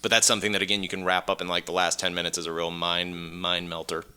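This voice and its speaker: A man co-hosting a podcast, bantering casually and pitching ideas in a blunt, joking, sometimes profane way. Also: level tone, fast talker, pushed and bright, clean tone. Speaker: podcast co-host.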